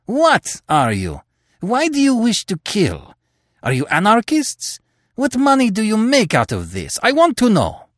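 A man's voice: medium pitch, big pitch swings, measured pace, hardly any pauses, no echo, studio quality. A man voicing an angry, suspicious young Spanish recruit who demands answers passionately. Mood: agitated. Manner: passionate, shouting and pleading.

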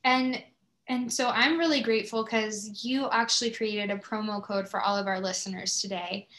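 A woman's voice: medium pitch, steady tone, normal speed, fairly bright tone. A woman hosting a podcast, chatting in an appreciative, effusive way as she interviews a guest. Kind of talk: conversational interview.

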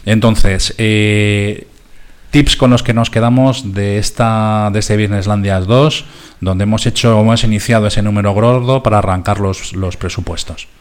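Spanish guy hosting a radio show, lowish voice, chatty studio talk. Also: steady tone, measured pace, smooth. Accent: Spanish (Spain). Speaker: host of a finance radio show. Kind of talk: conversational radio talk, wrapping up.

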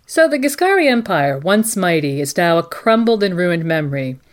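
A woman hosting a scripted mock news broadcast, presenting and interviewing in a measured, formal way. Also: fairly low pitch, very animated. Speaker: podcast host playing a live news anchor.

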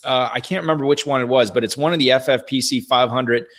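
A man's voice: medium pitch, fast, fairly bright tone. A man hosting a fantasy football radio show, chatty and upbeat, reading sponsor plugs and contact details in a studio. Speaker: podcast host.

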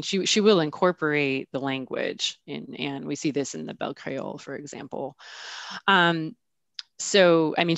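Woman, gravelly, dry close sound, academic discussion, thoughtful, restarts often. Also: low-pitched, a bit bright, no room sound. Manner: thoughtful, many restarts and "I mean". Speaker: literary scholar.

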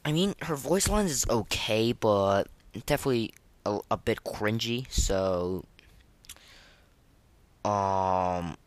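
A man hosting a casual, unscripted gaming podcast, speaking in a halting, repetitive, informal way. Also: fairly low pitch, animated, dry recording. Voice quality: voice that cracks now and then. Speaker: podcast host.

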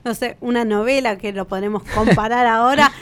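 A woman's voice: fairly high pitch, a little fast. A woman with an Argentine accent, co-hosting a podcast in a casual, conversational way and often checking for agreement with little tag questions. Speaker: podcast co-host.

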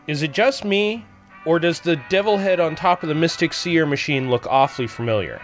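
A man's voice: on the high side, moderate pace, soft background music, natural voice. A man playing a wry, observant fan in a comedic audio drama. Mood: urgent, knowing.

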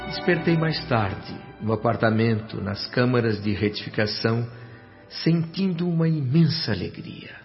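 A man's voice: medium pitch, lively intonation, moderate pace, lo-fi sound, natural voice. An actor playing an earnest, emotional spirit son who narrates his afterlife reflectively in a radio drama. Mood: tender, reflective. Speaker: narrator and protagonist. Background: music.

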